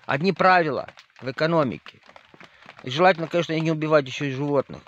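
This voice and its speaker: A man's voice: on the high side, quick pace, fairly bright tone. A man from northern Moldova, speaking as a spiritual leader in a rambling monologue full of fillers, addressing listeners directly. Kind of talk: spoken monologue to viewers. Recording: slightly dull lo-fi audio with faint noise.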